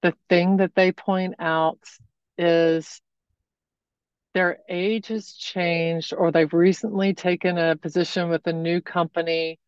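A woman wardrobe consultant giving thoughtful, measured answers as a podcast guest. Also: on the low side, little pitch movement, clean tone, dry recording, dull audio. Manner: thoughtful, measured explanations with pauses.

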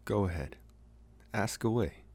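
Man playing a very deep, halting young vampire. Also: lively intonation, darkish tone. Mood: reflective, open. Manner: halting, hesitant, trying to sound intimidating. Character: socially awkward young male college vampire, possessive yet earnest. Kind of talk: ASMR roleplay, intimate monologue to the listener.

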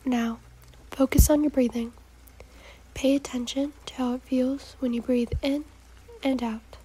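A woman guiding a meditation in a soft, breathy, partly whispery voice, giving calm, gentle instructions in short, measured phrases. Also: high voice, rough recording. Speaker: guided meditation host. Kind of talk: slow, soothing guided meditation narration.

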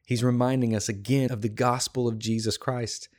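A slightly hoarse, tired man hosting a devotional podcast, teaching a Bible passage in an earnest, encouraging way with emphatic repetition. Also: mid-range voice, fairly even intonation, normal speed, a little mellow. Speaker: podcast host.

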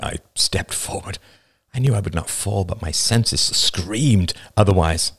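A man reading a first-person story aloud with expressive storytelling. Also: deep voice, gravelly, dry recording. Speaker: narrator.

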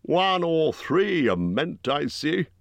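A man voicing a huge, gruff bear-man who is blunt and impolite, in a growling voice. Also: sing-song, slow, dry recording, heavily acted. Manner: booming, growling, rough.

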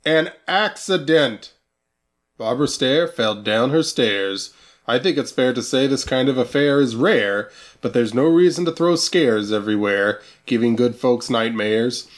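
A man playing an authoritative deadpan police chief. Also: medium pitch, unhurried, lightly acted. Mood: firm, dismissive. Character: blind veteran police chief, gruffly authoritative and punning. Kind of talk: comic noir radio play dialogue.

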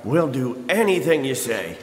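A man's voice: medium pitch, sing-song, measured pace, slight background noise. Man playing a folksy beggar, wildly swooping voice. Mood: eager. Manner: folksy, ungrammatical talk. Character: downtrodden street beggar, folksy and excitable, male. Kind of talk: live radio drama acting. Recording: echoey hall with crowd behind.

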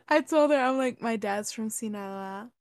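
A woman whose first language is Spanish, chatting casually as a podcast co-host, animated and laughing often. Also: high voice, no echo, studio quality. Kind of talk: casual chat.

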